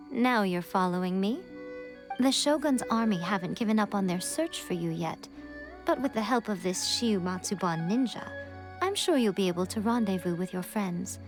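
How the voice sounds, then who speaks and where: Woman voicing a confident young strategist, brisk assured orders, clear music behind. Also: medium pitch, lively intonation, lightly acted. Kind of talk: game cutscene dialogue.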